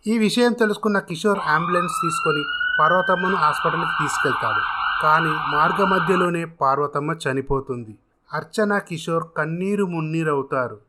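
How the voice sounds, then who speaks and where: A man's voice: on the high side, moderate pace, very noisy. A man narrating a scripted dramatic story as a storyteller.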